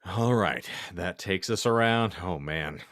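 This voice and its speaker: A man's voice: low voice, slow pace, a bit bright, dry recording, studio quality. A man hosting a podcast with casual banter, speaking in wordy, theatrically flowery phrases as he presents. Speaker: podcast host and narrator of a fan fiction reading.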